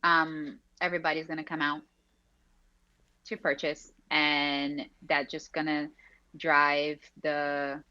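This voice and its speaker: A woman's voice: low voice, little pitch movement, takes it slowly, bright, forceful tone, highs cut off. A woman from Brazil, a real estate agent and guest, chatting with many fillers and restarts over a remote call. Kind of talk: casual remote interview. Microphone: headphones on a remote call.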